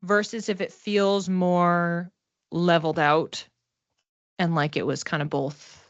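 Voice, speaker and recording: Woman doing a casual oracle card reading, lowish voice, thinks out loud and repeats herself, kinda dull lofi audio, dry. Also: vocal fry, no room sound. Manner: conversational, halting, repeats phrases as she thinks aloud. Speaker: psychic reader.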